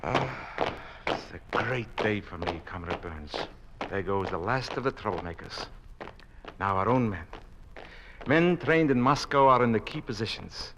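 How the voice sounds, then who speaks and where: An actor playing a smug, cruelly polite communist boss who gloats with mock courtesy, in 1950s radio drama style. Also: male voice, lowish voice, lively intonation, measured pace, background noise. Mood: gloating.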